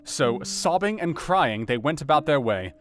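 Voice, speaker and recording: A man's voice: on the high side, expressive, a bit bright. Man acting earnest doctor narrating over music. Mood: strained. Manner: measured, formal, dramatic. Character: earnest male doctor recounting a grim night. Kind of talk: dramatised audiobook narration.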